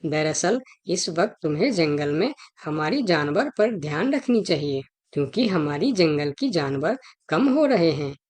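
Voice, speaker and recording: Woman as a lively, insistent cartoon fox. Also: low voice, a little slow, hardly any pauses, full character voice. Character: clever, persuasive female fox arguing her case. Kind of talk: Hindi children's cartoon dialogue.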